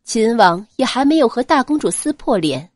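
A woman narrating a serialized audio novel in a smooth, expressive storytelling manner. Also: fairly high pitch, normal speed, no room sound, studio quality.

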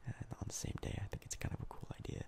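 A young man giving soft-spoken ASMR game commentary in a breathy, crackly whisper, casual and rambling with hesitant pauses. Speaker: ASMR gaming YouTuber.

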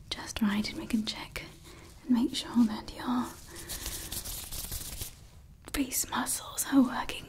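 A woman's voice: fairly high pitch, a little mellow. A woman voicing a doting, sinister female evil scientist in an ASMR roleplay, mixing fawning praise with offhand menacing asides. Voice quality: whispered. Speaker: ASMR performer.

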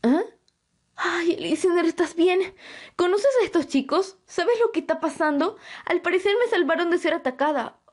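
A woman's voice: very high voice, lively intonation, normal speed. A woman playing an anxious, bewildered young woman in a fantasy audio drama, hesitant and wide-eyed. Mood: shaken, confused.

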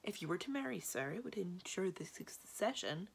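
A woman's voice: medium pitch, very animated, takes it slowly, vocal fry, slightly rough, voice pushed up, heavily acted. A woman voicing an anxious, deferential male secretary in a storybook, polite and hesitant in his speech. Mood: calm, careful.

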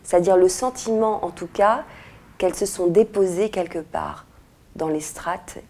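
A woman in her forties, an author, answering interview questions thoughtfully with frequent pauses and restarts, recorded on a lapel mic in a quiet indoor room. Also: lowish voice. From France and Morocco. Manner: thoughtful, many hesitations and restarts. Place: indoor room with red walls, seated in armchair.

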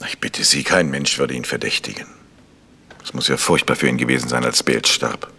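An actor playing a calm, polite gentleman investigator in a German radio crime drama, asking insistent questions. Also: a bass voice, fairly quick, vocal fry, husky, full character voice. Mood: polite, probing.

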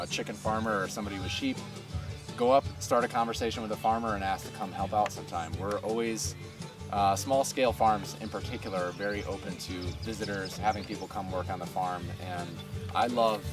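A man in his thirties speaking as a farmer in a casual outdoor interview, conversational and earnest. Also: lowish voice. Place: open farm field outdoors. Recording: distant outdoor camera mic, music underneath.

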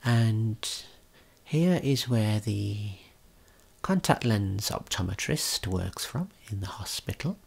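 Guy doing asmr tour narration, real slow breathy whisper, kinda crackly, gentle explaining. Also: lowish voice, animated. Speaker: ASMR creator giving a guided tour.

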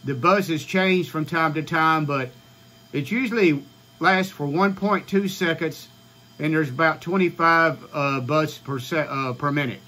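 A man in his sixties with an American accent, explaining informally to camera in a halting, matter-of-fact way. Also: fairly high pitch, pushed and bright. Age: 60s. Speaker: ham radio vlogger. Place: small home radio room, indoors.

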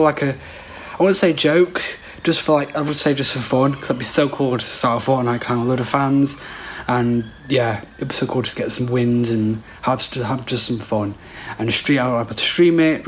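Young British man, noisy phone recording. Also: medium pitch. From United Kingdom. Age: teens to young adult. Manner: rambling, repeats himself, frequent "like". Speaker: vlogger. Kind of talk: casual chat to camera. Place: small rooms at home, conservatory; briefly outdoors. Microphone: phone at arm's length.

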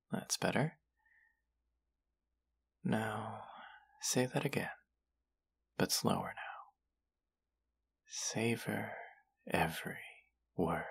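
A man's voice: lowish voice, gravelly, breathy edge, full character voice. A man acting a condescending, mocking young twin brother in a roleplay audio drama, with a teasing, sarcastic drawl. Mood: teasing, intimate.

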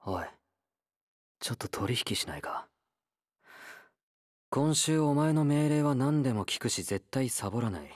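A man's voice: medium pitch, expressive, unhurried, long pauses, no echo, clean studio sound. A male actor playing a laid-back young convict fighter in a game, blunt, teasing and nonchalant, with a drawl. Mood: casual, wry. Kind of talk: Japanese game character voice lines.

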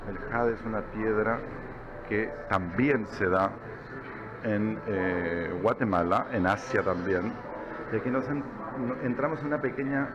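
A man's voice: lowish voice, measured pace, dull audio. A man in his forties with a Peruvian accent, chatting casually as a travel vlogger, laughing often and tagging phrases with little questions. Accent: Peruvian Spanish. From Peru. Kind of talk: casual travel vlog narration. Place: outdoor crafts market. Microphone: camera held at arm's length.

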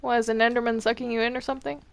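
A woman's voice: on the high side, level tone, rapid-fire, creaky. A young woman chatting and bantering casually with friends while playing a video game. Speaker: player in a group gaming video.

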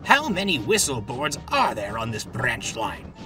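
A man's voice: mid-range voice, huge pitch swings, measured pace, pushed and bright, distant mic, soft background music, full character voice. A man voicing a grumpy, scolding old railway coach in a storybook, in a grumbling manner. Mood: exasperated.

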